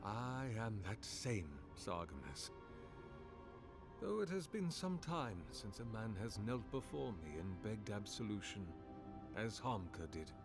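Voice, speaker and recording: Old devout oracle priest, slow and grave, game voice over music. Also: male voice, lowish voice, big pitch swings. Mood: solemn. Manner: grave, measured, archaic phrasing. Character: ancient solemn male oracle priest, lonely, devout.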